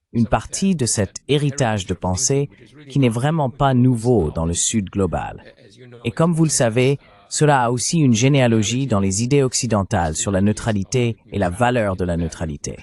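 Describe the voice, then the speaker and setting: A man's voice: medium pitch, a little mellow. A man reading a voice-over translation of a political interview. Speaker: French voice-over translating interview host and guest.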